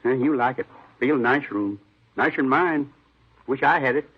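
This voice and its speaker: An actor playing a simple-minded, eager, talkative janitor in an old radio drama, rambling in folksy, ungrammatical repetitions. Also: male voice, medium pitch, normal speed, breathy edge, highs cut off, natural voice. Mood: plain, rambling. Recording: noisy, band-limited old radio recording.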